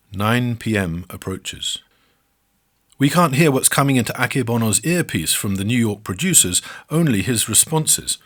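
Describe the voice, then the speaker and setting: A man's voice: fairly low pitch, fairly bright tone, husky. A man narrating a scripted first-person memoir, with a wry, mock-boastful, self-deprecating manner.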